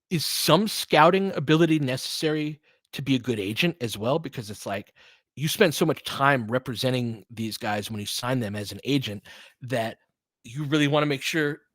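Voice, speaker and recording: A man's voice: mid-range voice, animated, normal speed, fairly bright tone, no echo. A man giving casual, opinionated basketball commentary as a podcast host, emphatic and conversational, often checking in with rhetorical questions.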